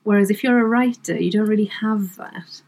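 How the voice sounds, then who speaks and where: A middle-aged Welsh-speaking woman from Wales chats easily in an interview, quick to laugh at herself. Her voice sits at an ordinary middle pitch. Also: a little mellow. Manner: chatty, self-deprecating, laughs often. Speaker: comedy writer, guest. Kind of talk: casual interview chat.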